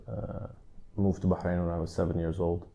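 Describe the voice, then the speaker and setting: Thirties drummer from the Netherlands, low and mellow, loose rambling answers, dull lo-fi sound. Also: male voice, fairly quick, gravelly. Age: 30s. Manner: relaxed, rambling with many "like" and "you know" asides. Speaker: drummer interviewee. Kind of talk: casual interview answers.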